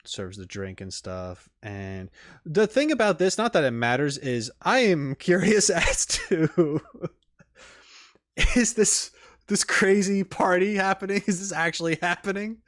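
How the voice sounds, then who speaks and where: Man giving rambling, halting casual commentary. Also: high voice, expressive, a bit bright, no room sound, clean studio sound. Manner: halting, self-interrupting, trailing off mid-thought; casual swearing. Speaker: reaction video host.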